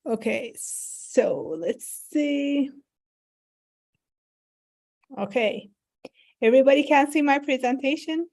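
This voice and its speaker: A middle-aged woman gives an online conference talk as a professor over a video call. Her voice sits a little high, with a mellow, warm tone. She explains in a friendly way and fills her pauses with short sounds. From Turkey. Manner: friendly, explanatory, many fillers. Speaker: professor giving an invited talk.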